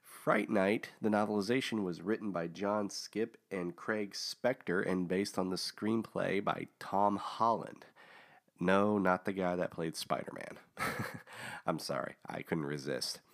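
A man hosting a casual book review, talking with many fillers and restarts, his voice a little hoarse from a recent illness. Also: deep voice, a little slow. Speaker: host of a book review show.

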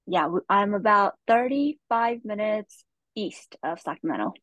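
A young woman from California telling her life story as a podcast guest, soft-spoken and hesitant with many pauses. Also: mid-range voice, lively intonation, no echo, lo-fi sound. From Stockton, California.

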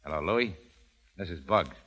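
A man's voice: low-pitched, expressive, brisk, fairly bright tone. An actor playing a tough, suspicious gangster henchman, curt and slangy, in a 1930s radio drama. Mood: accusing, curt. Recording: old 1930s radio broadcast.

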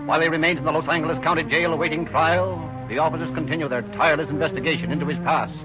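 A man's voice: on the high side, steady tone, rapid-fire, over music. A man announcing and narrating in a formal, declarative 1930s radio style. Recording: dull, noisy old radio recording.

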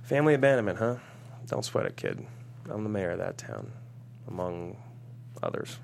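An actor playing a cocky, foul-mouthed celebrity with a sardonic, exasperated, flippant way of talking in a comedy table read. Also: male voice, low-pitched, wildly swooping pitch, normal speed, exaggerated voice. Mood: dryly flippant. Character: cocky, sarcastic, foul-mouthed male celebrity stranded in town. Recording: clear background hum and noise.

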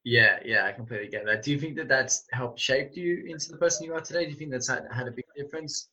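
A man's voice: mid-range voice, fast talker, sharp tone. A man hosting a podcast, asking a guest questions in an encouraging, affirming and curious way. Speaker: podcast host. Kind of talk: casual interview questions. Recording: dull lo-fi sound with faint noise.

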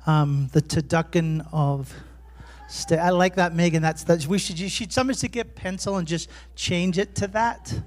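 A man's voice: on the high side, normal speed. An Irish man preaching as a pastor, chatty and self-deprecating, telling humorous personal stories in his sermon. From Ireland. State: jet lagged.

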